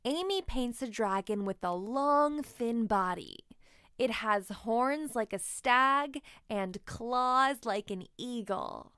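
A woman reading a children's story aloud expressively, with deliberate, emphatic phrasing. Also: a little high, lively intonation, a bit bright, smooth, dry recording, clean studio sound. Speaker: children's book narrator.

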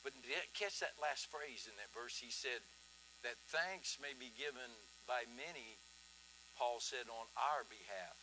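An older man preaching a folksy sermon on a church stage, swinging from quiet asides to loud emphasis. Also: medium pitch, expressive, unhurried, a little hiss or hum, rough recording. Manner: folksy, swings from soft to loud, asks "huh?".

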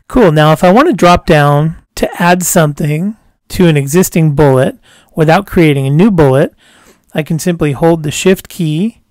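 A man walking through a software tutorial step by step as a screencast voice-over, calm and explanatory, dropping a casual "cool" between steps. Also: fairly high pitch, no room sound.

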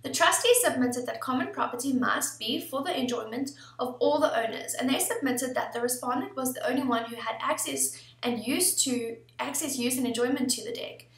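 A woman in her thirties presenting a scripted legal explainer in a steady, formal reading manner, recorded at a desk webcam in an office. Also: sits high, normal speed, hardly any pauses, far from the mic. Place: office room indoors. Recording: echoey room, voice somewhat off-mic.

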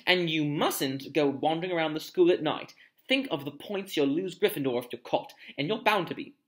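A storybook reader voicing a bossy, self-righteous schoolgirl who scolds in a shrill, indignant, nagging way. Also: normal speed, a bit bright, lightly acted. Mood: scolding.

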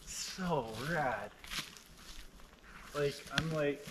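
A man's voice: on the high side, lively intonation, drawn-out words. A man talking casually and with awe in short exclamatory bursts, as an outdoor vlogger on a hike. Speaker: vlogger and backpacker. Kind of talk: casual outdoor vlog talk. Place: outdoors in a forest. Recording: clear outdoor background noise, camera mic.

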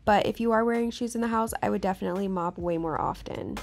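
A woman's voice: mid-range voice, quick pace, creaky. A young American woman giving casual voice-over tips as a vlogger, chatting informally to viewers. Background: background music.

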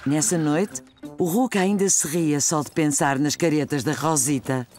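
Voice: mid-range voice, normal speed, darkish tone, soft background music, natural voice. A narrator with a European Portuguese accent reading scripted story narration for a children's cartoon.

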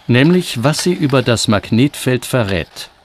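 A man reading scripted documentary narration in a measured, explanatory manner. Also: mid-range voice, lively intonation. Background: background music.

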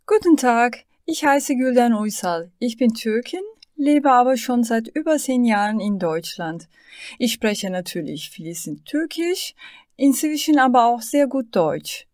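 A woman playing a confident speaker who introduces herself clearly. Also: a little high, expressive, a little slow, a little mellow, no room sound, clean studio sound. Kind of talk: scripted self-introduction for learners.

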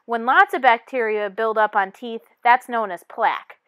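High-voiced woman reading a children's book. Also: normal speed, fairly bright tone, smooth, no room sound. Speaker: storybook reader. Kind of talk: read-aloud of a children's picture book.

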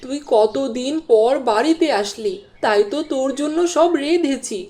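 A woman's voice: sits high, moderate pace, warm tone, natural voice. A woman voicing a doting, fussing middle-aged village mother in a cartoon, with a coaxing manner. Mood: fond. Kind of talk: cartoon dialogue acting. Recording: clear background noise.

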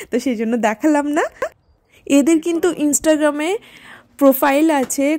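A woman from India, narrating a casual vlog in a chatty, rambling way with hesitant fillers. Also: sits high. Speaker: vlogger.

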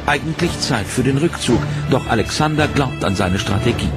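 A man giving scripted, measured documentary narration in a dramatic tone. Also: mid-range voice, lively intonation. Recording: narration over loud music.

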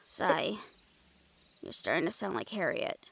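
A woman's voice: lowish voice, very animated, unhurried, vocal fry, fairly bright tone, slightly rough, exaggerated voice. A woman playing a sarcastic, teasing young woman in an audio roleplay, with a wry way of talking.

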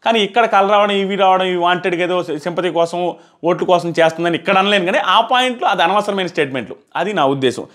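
A man's voice: high voice, fast, fairly bright tone. A man gives a casual spoken review to camera, explaining and repeating his points for emphasis. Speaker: reality show reviewer. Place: small plain indoor room. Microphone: clip-on lapel mic.

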